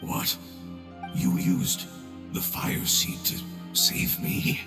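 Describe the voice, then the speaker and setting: A man voicing a weary, dying ancient fungus creature in a game cutscene, speaking in a faint, breathy half-whisper with solemn slowness. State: weak, near death. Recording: echoey and distant, game music behind.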